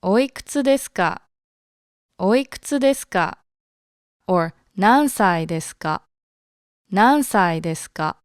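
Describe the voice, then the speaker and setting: A woman from Osaka whose first language is Japanese, co-hosting a language podcast as teacher, relaxed and often laughing. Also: medium pitch, clean tone, dry recording, studio quality. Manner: giggly, relaxed, slow and unhurried. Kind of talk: casual conversational lesson.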